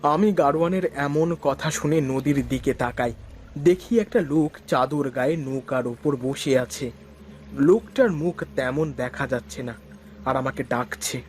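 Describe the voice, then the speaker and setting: A man's voice: medium pitch, unhurried, darkish tone, a little hiss or hum. A man narrating a spooky cartoon story in the first person. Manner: stammers nervously when frightened. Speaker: narrator and lead character.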